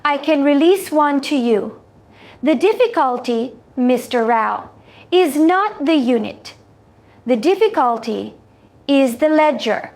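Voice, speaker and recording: Woman playing a very slow, high-voiced alien trader. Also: lively intonation, a little mellow, exaggerated voice. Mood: grave, measured. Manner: slow, formal, careful phrasing. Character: calm, exacting alien Sool trader, measured and deliberate. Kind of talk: science fiction audio story acting.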